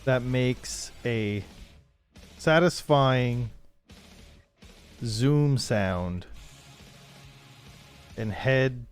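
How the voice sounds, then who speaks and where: Halting man chatting on a stream. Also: medium pitch, soft background music. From Canada. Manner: halting, thinking aloud, frequent throat clearing. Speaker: livestream host. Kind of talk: casual game-stream chat, improvising story prompts aloud.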